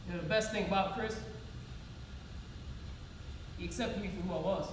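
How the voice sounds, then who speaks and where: A woman's voice: lowish voice, moderate pace, natural voice. A woman playing a wounded yet faithful grieving woman in an emotional, colloquial theatrical monologue. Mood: fond, tender. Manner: emotional, colloquial, emphatic, pleading. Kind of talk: theatrical one-act stage monologue. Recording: roomy echo, distant mic, loud noise.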